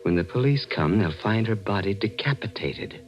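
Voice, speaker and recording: An actor playing a sinister, brooding husband who claims to foresee murders, menacing and deliberate, in a 1940s radio mystery. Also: male voice, lowish voice, lively intonation, close to an everyday voice. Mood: eerie, ominous. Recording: muffled old radio recording with music.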